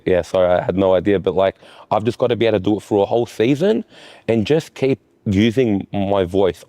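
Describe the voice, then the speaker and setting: A man, a futsal goalkeeper being interviewed, talking in halting bursts that lean on "like" and often restart. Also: deep voice, warm tone, no room sound. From Australia. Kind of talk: post-season player interview.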